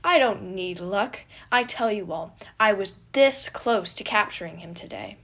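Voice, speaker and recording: A woman's voice: mid-range voice, lively intonation, measured pace, a bit bright. A bold teenage prince acted by a woman, proud and theatrical. The speech is grandiose and exclamatory, pushed out with showy confidence for a storybook reading. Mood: boastful. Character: bold theatrical young prince, boastful and dramatic.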